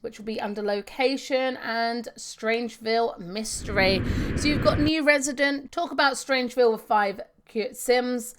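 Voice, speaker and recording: A woman's voice: on the high side, moderate pace, slight background noise. A woman doing casual, chatty video game walkthrough commentary, talking to herself as she plays and reading out on-screen text. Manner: chatty, talks to herself while playing.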